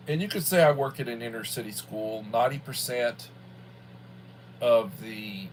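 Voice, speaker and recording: A man's voice: mid-range voice, low-quality recording. Man in his sixties, rambling over background hum. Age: early 60s. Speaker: livestream host, school worker. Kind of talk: casual rambling livestream chat.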